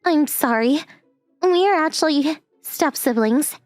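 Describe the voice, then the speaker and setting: A woman's voice: sits high, lively intonation, unhurried, soft background music. A woman playing a shy, earnest young step-sister in an anime-style manga dub, speaking in a hesitant, meek way. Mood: apologetic.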